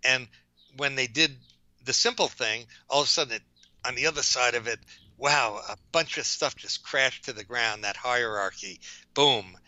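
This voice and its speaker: An older man with a New York accent answering interview questions in a rambling, halting way, often correcting himself as he reflects. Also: mid-range voice, sharp tone, no echo, muffled recording. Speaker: OCD psychologist, interview guest.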